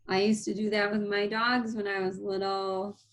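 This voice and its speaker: A woman teacher reading a picture book aloud, pausing to explain things simply to young listeners. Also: mid-range voice, a bit bright, slight background noise, rough recording.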